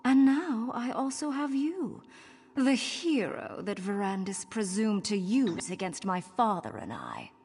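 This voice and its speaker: A woman's voice: medium pitch, sing-song, unhurried, darkish tone, slight background noise. A woman playing a seductive, domineering vampire noblewoman in a video game, purring and teasing with lofty, mocking sweetness. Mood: gloating.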